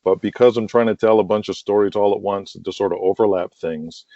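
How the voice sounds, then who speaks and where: A man's voice: on the low side, steady tone, a little fast, warm tone. A man being interviewed casually, explaining his work at length in a rambling, conversational way full of filler phrases. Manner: rambling, explains at length with frequent "you know" and "like". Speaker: interview guest, writer of a wrestling audio drama. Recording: dull lo-fi call audio, roomy echo.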